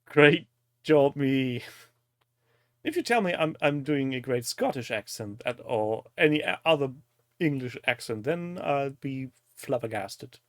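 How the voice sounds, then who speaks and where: A man's voice: medium pitch, lively intonation, unhurried, no room sound. A man from Germany with a German accent, a native German speaker, hosting a casual live-stream chat in a jokey, teasing manner. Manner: jokey, crude humour, teasing.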